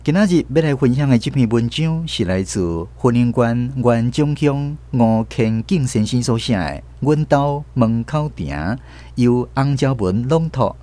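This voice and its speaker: A man's voice: medium pitch, measured pace, mellow. A male radio host with a Taiwanese accent reading a scripted announcement to listeners.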